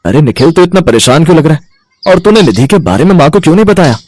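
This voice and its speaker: A man plays a young adult son in a children's cartoon story. His voice is a little high for a man, earnest and respectful as he explains himself. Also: big pitch swings, fast, a little mellow. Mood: concerned. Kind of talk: Hindi cartoon bedtime-story character acting.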